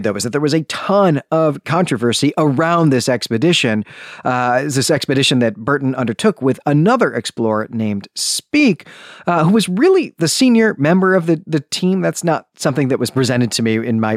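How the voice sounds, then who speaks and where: A man's voice: mid-range voice, no room sound, studio quality. A man hosting a podcast, giving an informal but prepared talk in an enthusiastic, bookish and emphatic manner. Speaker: podcast host.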